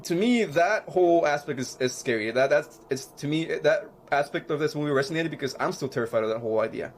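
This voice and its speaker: A man co-hosting a podcast in casual chat, halting and repeating himself as he searches for words. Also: on the high side, expressive, a little hiss or hum. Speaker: podcast co-host.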